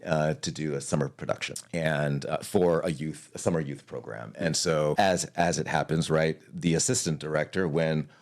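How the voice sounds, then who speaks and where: A man's voice: a bass voice, normal speed, hardly any pauses. A man from Hawaii, an arts leader, talking through his career in a casual interview.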